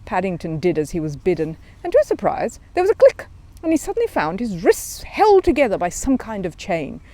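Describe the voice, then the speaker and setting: A woman storyteller reads a children's book aloud. Her voice sits at a medium pitch and moves freely up and down. The narration is expressive throughout. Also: a little fast, dry recording.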